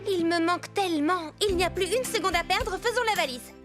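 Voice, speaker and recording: A woman voicing an excitable, cheerful young girl in a French-dubbed children's cartoon, with an expressive, exclamatory delivery. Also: very high-pitched, brisk, music in the background. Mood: bubbly.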